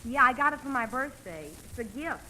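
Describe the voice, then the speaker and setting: A woman's voice: sits high, lively intonation, brisk. An actress playing an eager, polite young girl in a 1940s radio comedy, chirpy and earnest. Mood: admiring, curious. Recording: noisy vintage 1940s radio recording.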